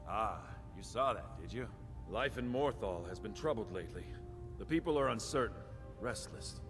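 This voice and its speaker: A man's voice: lowish voice, expressive, normal speed. An actor playing a guarded, diplomatic male steward in a fantasy game, with a composed, official manner. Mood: guarded, reassuring. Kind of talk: formal game dialogue. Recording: music behind the voice.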